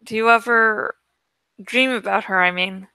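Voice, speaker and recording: A grieving, concerned father from a novel, read by a woman, speaks gently and slowly. The voice is subdued yet clear, with a close, dry sound. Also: mid-range voice, fairly even intonation, no echo. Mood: subdued, sad. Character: sad, concerned widowed father of a teenage boy.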